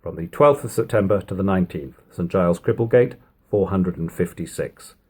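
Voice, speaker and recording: A man reading an old literary text aloud as narration, in measured, emphatic phrasing. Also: low-pitched, a little mellow, husky. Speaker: reader of a classic book. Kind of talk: audiobook-style literary narration.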